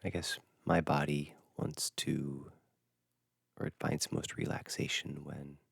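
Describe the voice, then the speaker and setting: Male meditation guide, extremely low voice, gentle and halting, close dry sound. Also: gravelly, breathy edge, dry recording. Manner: gentle, hushed, halting with long pauses. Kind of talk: unscripted dharma talk for guided meditation.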